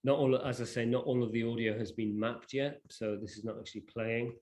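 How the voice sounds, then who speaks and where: A man presenting a software demonstration informally, in a hesitant, off-the-cuff way. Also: mid-range voice, steady tone, fairly quick. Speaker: presenter demonstrating a digital library.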